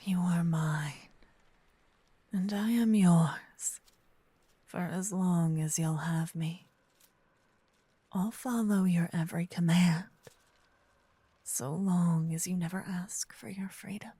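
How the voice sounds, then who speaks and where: A woman voicing a gentle, possessive, seductive female vampire lover in a breathy, intimate whispered ASMR roleplay, close to a binaural microphone. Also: fairly low pitch, dry recording. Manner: slow, intimate, lingering delivery with solemn romantic phrasing. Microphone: close binaural microphone, ear to ear.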